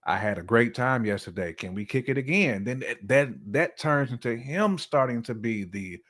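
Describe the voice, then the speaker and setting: A man's voice: medium pitch, lively intonation, moderate pace, a bit bright. A man giving his opinions in casual, colloquial conversation, often acting out imagined dialogue as he goes. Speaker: podcast guest or co-host.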